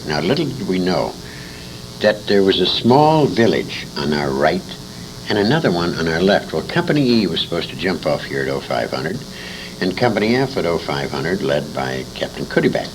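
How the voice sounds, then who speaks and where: An older man, a war veteran being interviewed, tells anecdotes in an emphatic, salty, halting way, acting out the dialogue. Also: low-pitched. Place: indoor interview at a convention. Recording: noisy amateur interview tape with room ambience.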